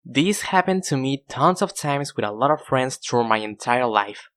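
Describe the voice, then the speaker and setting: A man's voice: mid-range voice, expressive, normal speed, a bit bright. A young man narrating in a casual, self-deprecating, conversational way, like a scripted storytime video.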